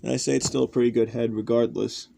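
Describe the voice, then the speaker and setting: A man's voice: medium pitch, little pitch movement, fast talker, mellow. A young man chatting casually and offhandedly as he shows off a hobby project, rambling and self-deprecating. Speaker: host of a toy customizing channel. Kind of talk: casual hobby showcase chat. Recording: clearly noisy homemade recording.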